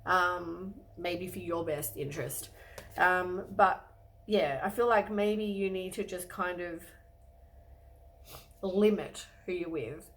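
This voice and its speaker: Croaky woman giving a tarot reading. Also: lowish voice, takes it slowly, low-quality recording. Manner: relaxed, rambling, many ums and hedges. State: croaky from a cold. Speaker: tarot reader.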